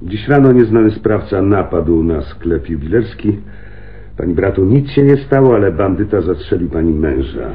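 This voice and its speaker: A wry, probing police lieutenant in a radio crime play. He talks conversationally, with drawled interjections and lively swings of pitch. The recording is muffled and narrow. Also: normal speed. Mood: grave, measured. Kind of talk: radio crime drama acting.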